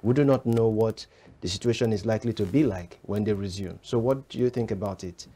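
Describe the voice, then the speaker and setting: A man's voice: fairly low pitch, fairly quick, dark tone, husky. A man co-hosting a TV studio discussion, framing his questions at length in a composed way. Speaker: TV show co-host. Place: TV studio set.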